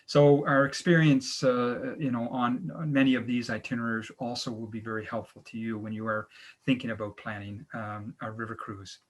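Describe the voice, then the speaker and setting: A middle-aged man from Canada hosts an online travel webinar over a video call. He speaks in an average-pitched voice, pausing often with fillers and restarting his phrases. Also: flat delivery. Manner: halting, many fillers and restarts. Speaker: travel agency owner and webinar host. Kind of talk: online presentation, casual.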